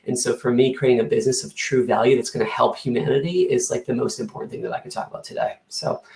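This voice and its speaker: A man's voice: medium pitch, fairly even intonation, fast talker, creaky, darkish tone, reverberant. A man, a company co-founder, speaking earnestly on an online panel with frequent hesitations, over a video call. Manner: earnest, many fillers and restarts.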